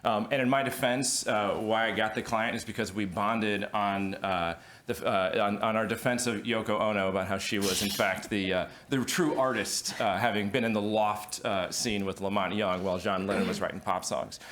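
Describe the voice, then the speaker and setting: A man's voice: fairly low pitch, steady tone, normal speed, a bit bright, a little hiss or hum. A man speaking as a music lawyer on a conference panel, with hesitant, restart-filled phrasing. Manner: hesitant, many ums and restarts, self-deprecating. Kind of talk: panel talk.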